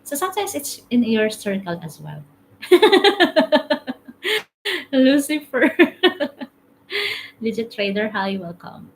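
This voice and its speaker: A woman's voice: on the high side, very animated, takes it slowly, pauses often, slight background noise. A woman from the Philippines with a Filipino accent, hosting a casual live stream, reading comments aloud, chatting and laughing often. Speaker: TikTok live host. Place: home room, currently in UAE.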